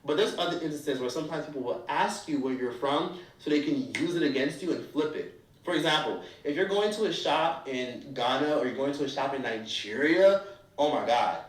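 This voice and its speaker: Blunt animated man, roomy and distant. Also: a little high, a little fast. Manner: blunt, animated, acts out accents of strangers. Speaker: travel vlogger. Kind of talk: casual travel advice monologue.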